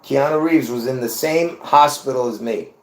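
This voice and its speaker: A man hosts his own video in a casual, rambling monologue, repeating words and getting worked up as he talks to viewers. His voice sits in a middle pitch and the sound rings around an echoey room. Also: moderate pace. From Lebanon. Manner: excitable, repeats himself, laughs between points. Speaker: video host.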